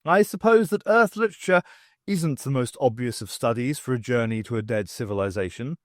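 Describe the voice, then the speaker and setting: A man's voice: mid-range voice, expressive, brisk, no echo, lightly acted. A man acts out a mannerly senior scholar from an audiobook. He talks in formal, ornate phrases, with varied intonation and a dry, close sound. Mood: proud, wistful. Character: courteous, formal, lonely male senior academic.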